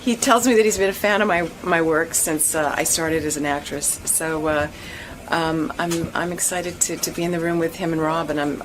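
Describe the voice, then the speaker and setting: Chatty woman over noisy ambience. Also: low voice. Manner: chatty, repeats words, laughs often. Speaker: guest actress. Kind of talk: casual chat.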